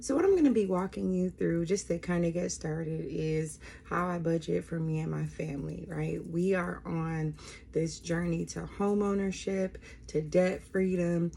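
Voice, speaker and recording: A woman's voice: on the low side, creaky, mellow, slight background noise. An American woman in her thirties talking casually and candidly to camera as a budgeting vlogger, often checking in with the listener, recorded on a phone. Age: 30s. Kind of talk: casual, personal talk to camera.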